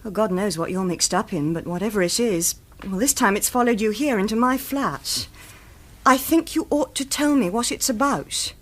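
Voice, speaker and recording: A woman's voice: medium pitch, moderate pace, natural voice. An actress playing the anxious, caring girlfriend of a hunted man, earnest and insistent in a radio thriller. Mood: pleading, worried. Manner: earnest, pleading, pressing with questions.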